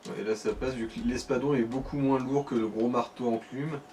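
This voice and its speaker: A man's voice: medium pitch, steady tone, fairly quick, darkish tone. A man giving casual co-commentary on a video game, explaining things and joking crudely. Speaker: let's play co-commentator. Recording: distant mic with faint background noise.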